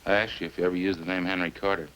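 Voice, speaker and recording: A man's voice: sits low, level tone, racing, a bit bright, low-quality recording. An actor playing a male police detective, a sergeant's partner, putting blunt short questions in a 1950s radio crime drama. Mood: blunt, brisk. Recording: hissy old-time radio recording.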